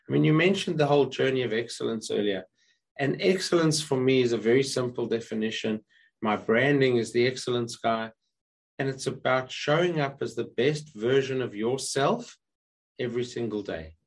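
A man with a husky, croaky voice, hoarse from being unwell, speaks as a guest over a video call. He tells a story in a relaxed, chatty way, often stumbling and restarting his sentences. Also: mid-range voice, moderate pace. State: hoarse, recovering from illness. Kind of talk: casual interview chat.

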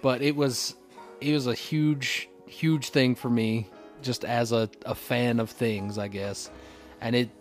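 A man's voice: medium pitch, fairly even intonation, takes it slowly, soft background music. A man co-hosting a podcast, chatting casually as a fan in an informal, slangy way. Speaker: podcast co-host.

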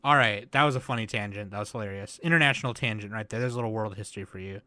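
A man's voice: lowish voice, racing, pushed and bright, dry recording. A young man hosting a podcast in a laid-back way, swearing casually and laughing often. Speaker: podcast host.

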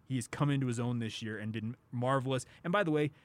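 A man with a mid-pitched voice hosts a casual sports talk show. He speaks in an emphatic, conversational way, slowing down to lean on the phrases that matter.

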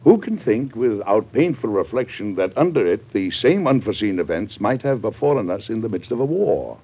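A dull vintage radio recording of a burdened American president. The voice is very deep and husky. He speaks like a formal, devout statesman in oratory. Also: very animated, normal speed, heavily acted. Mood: earnest, oratorical. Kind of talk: old-time radio drama acting.

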